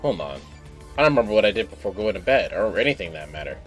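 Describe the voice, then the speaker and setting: Low-voiced man streaming a game. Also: sing-song, quick pace. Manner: casual, offhand asides. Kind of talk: live stream commentary, reading game text aloud. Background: game music.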